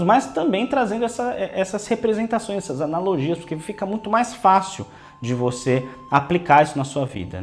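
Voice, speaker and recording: A man's voice: mid-range voice, a little mellow. A man hosting a casual video lesson as a tarot teacher. His voice is a little off from dry air, and he keeps tagging his sentences with a questioning "né". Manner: chatty, tags many phrases with a questioning "né". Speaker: tarot teacher and channel host.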